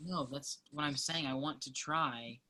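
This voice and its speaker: An actor playing a charming, earnest college soccer player in a virtual reading of a stage play. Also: male voice, on the high side, unhurried, bright, forceful tone. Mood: confessional. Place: remote virtual reading over video call.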